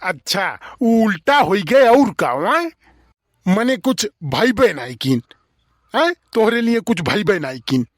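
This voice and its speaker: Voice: medium pitch, very animated, takes it slowly, no echo. Someone doing a gullible nosy village bachelor, awadhi cartoon, takes his time talking, very dry recording. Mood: curious. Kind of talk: Awadhi comedy cartoon dialogue.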